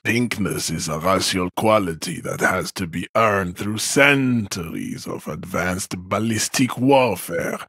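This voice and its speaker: A haughty, hulking supremacist from a video game. His voice is low and husky, recorded very dry. He declaims solemnly and pompously, as if above everyone. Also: slow pace, few pauses, a bit bright, no echo, heavily acted. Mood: pompous, disdainful. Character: haughty, hulking supremacist strongman, adult man. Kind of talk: scripted game character monologue.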